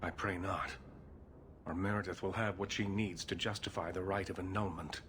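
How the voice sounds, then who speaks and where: An actor playing a grave elder male mage leader in a video game, speaking in a formal, careful, measured way. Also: deep voice, steady tone, fairly bright tone, slight background noise. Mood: worried, measured. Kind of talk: video game cutscene acting.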